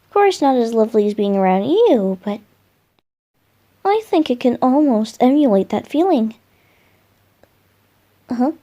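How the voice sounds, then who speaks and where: Girl doing a soft lovestruck boy spirit for romantic asmr roleplay, talks slow n tender, kinda warm dark tone, breathy murmurs. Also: a little high, big pitch swings, muffled recording.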